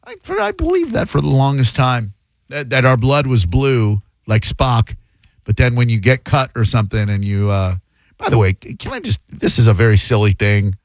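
A man's voice: medium pitch, lively intonation, no echo. A male radio host taking calls and ranting bluntly, often mocking his callers. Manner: blunt, mocking, quick to rant. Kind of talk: call-in radio banter.

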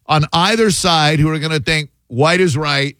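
A man's voice: fairly high pitch, pushed and bright, clear, resonant tone, dry recording, studio quality. A male radio host taking calls and ranting bluntly, often mocking his callers. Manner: blunt, mocking, quick to rant. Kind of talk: call-in radio banter.

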